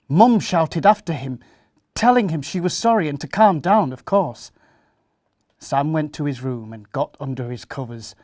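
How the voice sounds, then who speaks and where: Man, storyteller, expressive children's story reading, unhurried pace, dry close recording. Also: fairly high pitch, no echo.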